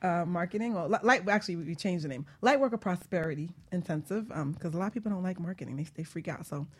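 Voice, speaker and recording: A woman's voice: on the low side, fast, husky. A woman hosting a casual radio talk show, chatting informally and promoting things as she goes.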